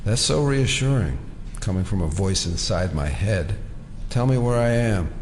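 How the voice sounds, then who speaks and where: An actor playing a defiant, sardonic American federal agent held captive in an audio drama, muttering wry asides. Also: male voice, on the low side, expressive, normal speed, noisy background, lightly acted. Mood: uneasy, incredulous. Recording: loud dramatic music behind.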